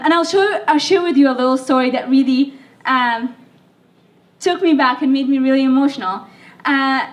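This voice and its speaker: Young woman from libya giving a speech, kinda sick, lots of ums, sounds far from the mic. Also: sits high. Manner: chatty, self-deprecating, with fillers. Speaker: speaker. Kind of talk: speech to an audience.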